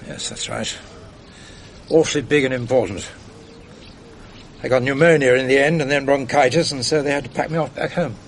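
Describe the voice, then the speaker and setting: A man plays an ageing British officer in a period radio drama. His English accent is clipped and upper-class, his manner understated and world-weary. The voice sits at a medium pitch, with light noise on the archive recording. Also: moderate pace, pauses often, lightly acted. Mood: reminiscing.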